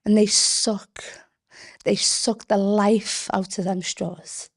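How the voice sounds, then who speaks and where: A woman poet from Britain giving a spoken-word reading, delivered in deliberate, expressive, measured phrases. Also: mid-range voice, husky. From Britain, of Yemeni family.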